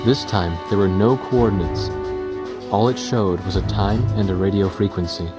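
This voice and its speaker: A male actor plays a reflective narrator of a war game with a lowish voice. He recounts events in a measured, sober, scripted manner, with clear music beneath him. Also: fairly even intonation, lightly acted. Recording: loud music under the voice.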